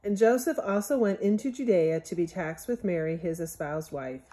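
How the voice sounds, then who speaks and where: A woman reading a Bible story aloud from a picture book in measured, scriptural phrasing, as a Sunday school storyteller. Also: on the low side, noisy background.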